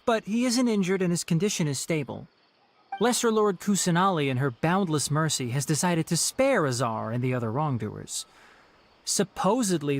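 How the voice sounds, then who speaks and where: A man playing a calm, courteous young forest ranger in a video game, speaking in a measured, articulate way. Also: on the high side, natural voice. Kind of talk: video game dialogue acting. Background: background music.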